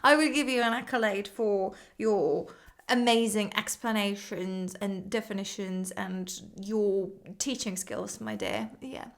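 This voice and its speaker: A woman's voice: mid-range voice, steady tone, a little slow. A woman English teacher chatting casually with an AI tutor, laughing and bemused, calling it "my dear". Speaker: English teacher hosting the chat. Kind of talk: casual chat.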